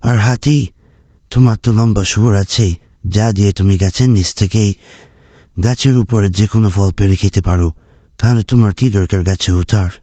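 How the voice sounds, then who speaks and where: A man's voice: lowish voice, normal speed, warm tone, dry recording, pitched below the performer's own voice, lightly acted. A man voicing a grave, wise animal elder in a cartoon fable, speaking with authority and admonishment. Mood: stern, admonishing. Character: grave lion king and wise fox scholar.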